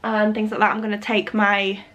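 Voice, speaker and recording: A young woman in her twenties, slightly husky as she gets over a cold, chatting to camera in her living room with lots of fillers. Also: medium pitch, completely flat delivery, normal speed, a bit bright, lo-fi sound. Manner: rambling, many fillers and restarts. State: recovering from a cold. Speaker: vlogger. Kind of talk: casual chat to camera. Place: living room at home, indoors. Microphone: camera on a tripod.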